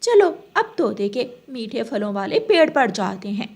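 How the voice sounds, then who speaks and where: A woman voices a sly cartoon crow wife with a high voice. She is mocking and conspiratorial, and delivers her lines with animation for a children's story. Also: big pitch swings, unhurried, a little mellow, exaggerated voice. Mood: gloating. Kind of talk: Hindi children's cartoon dialogue.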